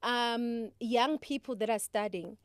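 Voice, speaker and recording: A woman's voice: a little high, slow pace, clean tone, no echo, clean studio sound. Chatty woman hosting. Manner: chatty, affirming, laughs often, switches into isiZulu. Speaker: podcast host. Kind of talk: casual podcast interview.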